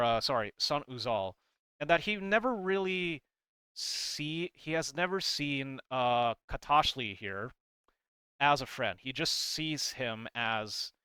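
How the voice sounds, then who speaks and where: A man's voice: mid-range voice, sharp tone, clean tone, no room sound. A man hosting a casual lore breakdown, talking informally and hesitantly, rambling as he explains and sometimes reading aloud. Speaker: video host explaining game lore. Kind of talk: casual commentary on a comic, reading parts aloud.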